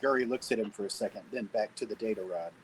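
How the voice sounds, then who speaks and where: A man's voice: on the low side, fast, noisy background. A man narrating stage directions from a script at a table read.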